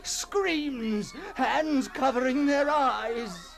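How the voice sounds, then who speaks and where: An anguished, creaky undead outcast, agonizingly slow. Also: male voice, very high voice, a bit bright, full character voice. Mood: frantic, desperate. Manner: theatrical, halting, swinging from whispers to anguished cries. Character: anguished male undead outcast, a ghoulish horror narrator. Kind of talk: dramatic radio horror monologue.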